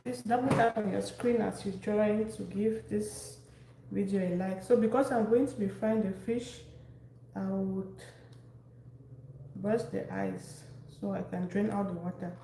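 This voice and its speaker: Gravelly woman hosting a cooking stream. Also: mid-range voice, fairly even intonation, a little slow, dark tone. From Cameroon. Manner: chatty, greets viewers by name. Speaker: cooking live-stream host. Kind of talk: casual live-stream chat while cooking. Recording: echoey room, faint background noise.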